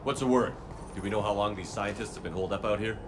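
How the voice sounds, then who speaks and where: An actor playing a steady, resolute military commander in a video game, firm, measured and terse. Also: male voice, on the low side, background noise, close to an everyday voice. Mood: businesslike. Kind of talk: video game cutscene acting.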